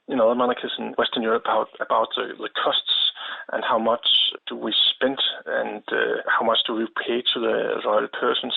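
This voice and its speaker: Male expert guest, Danish accent, husky and flat in pitch, halting explanations, heard down a phone line. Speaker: expert guest, history lecturer. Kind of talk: radio interview, explaining. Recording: narrow, tinny phone-like line.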